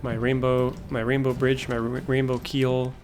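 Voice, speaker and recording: A man's voice: medium pitch, flat delivery, moderate pace, darkish tone, soft background music. A man thinking aloud as he plays a factory-building game, casually musing over his production lines, says he is losing his voice. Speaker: gameplay video host. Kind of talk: casual let's-play commentary.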